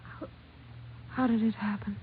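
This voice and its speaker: An actress playing a polite, fragile doctor's wife in a 1950s radio drama, with a hesitant way of speaking. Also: female voice, mid-range voice, steady tone, unhurried, close to an everyday voice. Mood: shocked, grieving. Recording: tinny, noisy 1950s radio recording.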